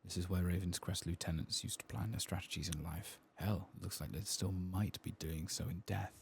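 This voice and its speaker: A man gives hushed, unhurried ASMR commentary over a game. His voice is low with a gravelly fry and often drops to a whisper, with faint noise and clicks behind. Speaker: gaming ASMR streamer.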